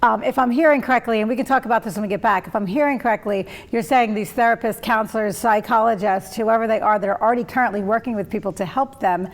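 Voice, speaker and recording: Gravelly-voiced woman interview host. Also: on the high side, quick pace, few pauses. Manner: rambling questions, frequent backchannel. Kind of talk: interview hosting.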